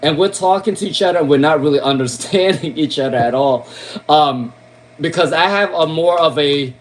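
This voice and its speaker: Chatty Long Island man with a high voice. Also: normal speed. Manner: repeats names and questions, ends lines with "okay?" and "all right?"; casual, chatty, lots of "um". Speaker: tarot reader. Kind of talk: live-stream tarot reading.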